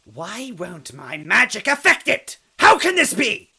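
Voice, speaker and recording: A man's voice: sits high, huge pitch swings, unhurried, bright, forceful tone, voice pushed up, full character voice. A man voicing a cruel scheming old female bean witch in a storybook reading, sneering and swinging from hisses to screams. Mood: frustrated. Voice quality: raspy, cackling. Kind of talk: storybook reading with character voices.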